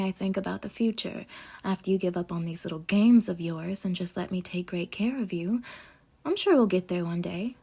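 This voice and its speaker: Woman acting a husky, sweetly possessive yandere girlfriend. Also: mid-range voice, dark tone. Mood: insistent, doting. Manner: coaxing, saccharine, dramatic, with breathy half-whispered asides. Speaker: ASMR voice actor. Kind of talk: intimate roleplay audio acting.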